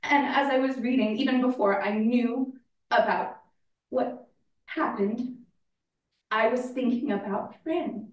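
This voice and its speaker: An actress plays a bereaved mother, a woman speaking in a reflective, halting way, as in a eulogy. She takes her time and pauses often. The sound is a stage reading picked up from a distance in an echoey room. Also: on the high side, dull audio, close to an everyday voice. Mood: tender, reflective. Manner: slow, deliberate, with long pauses. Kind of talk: staged play reading, dramatic monologue. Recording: roomy, distant mic in echoey space.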